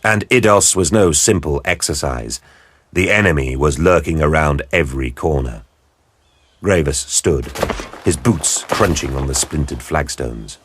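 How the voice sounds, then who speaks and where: A man narrating an audio drama in a deep, husky voice with grave, deliberate storytelling. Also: animated, a bit bright.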